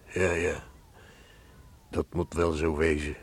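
An actor in a Dutch radio play, playing a loyal village neighbour who speaks in a subdued, halting, deferential and sorrowful way. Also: male voice, extremely low pitch, little pitch movement, breathy edge, exaggerated voice. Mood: subdued sympathy.

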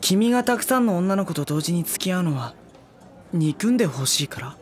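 An actor in a drama CD voicing a quiet young man who asks soft, hesitant questions. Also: high-pitched, big pitch swings, a little fast, a little hiss or hum. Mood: curious. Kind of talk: drama CD acting. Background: background music.

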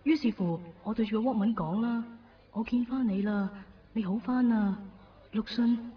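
A woman's voice: on the high side, moderate pace, close to an everyday voice. An actress playing a devoted, sentimental young woman in a Cantonese radio drama, earnest and emotional, with a Hong Kong accent. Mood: tender, nostalgic. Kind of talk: 1990s Cantonese radio drama acting with first-person narration. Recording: distant, dull radio recording with music.

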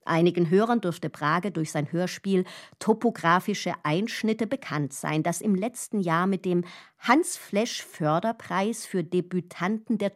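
Composed radio host lady reading an intro, formal, slow, very varied melody. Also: fairly low pitch. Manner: formal, articulate. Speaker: radio announcer.

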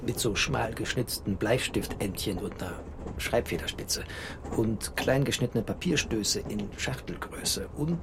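A man playing a bookish, wry refugee narrator in a literary audio drama, speaking in terse, expressive phrases. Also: on the low side, a little slow, creaky, noisy background. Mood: brooding, observant.

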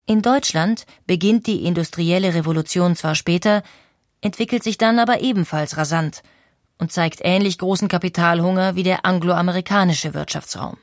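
Female documentary narrator, lower register, steady and clear. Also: moderate pace, no echo, studio quality. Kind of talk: scripted narration.

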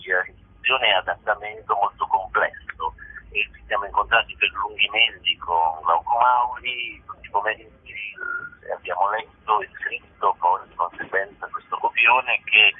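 A man with a fairly low, husky voice gives explanatory interview answers. He comes through a tinny, narrow telephone line. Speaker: interview guest, theatre director.

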